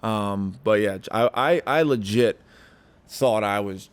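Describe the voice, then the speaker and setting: A man's voice: on the low side, a little slow, clean tone. A young man, a stand-up comedian, chatting casually as a podcast guest, rambling with many fillers and restarts. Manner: rambling, lots of likes and restarts.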